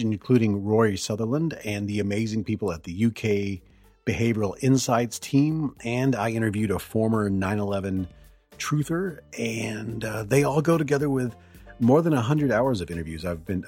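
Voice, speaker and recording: A man hosting a podcast, talking alone in a hoarse voice as he gets over the flu, rambling and correcting himself as he goes. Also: on the low side, a little mellow, dry recording. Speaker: podcast host. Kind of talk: solo podcast monologue.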